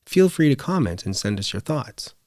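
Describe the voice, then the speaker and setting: A man's voice: medium pitch, sing-song, normal speed, a little mellow, no echo, clean studio sound. A man hosting a podcast, delivering a scripted show outro. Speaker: podcast host.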